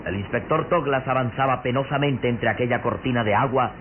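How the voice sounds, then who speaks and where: A man narrating a radio drama in a theatrical, suspenseful style with deliberate phrasing. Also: medium pitch. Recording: noisy, tinny old radio drama recording.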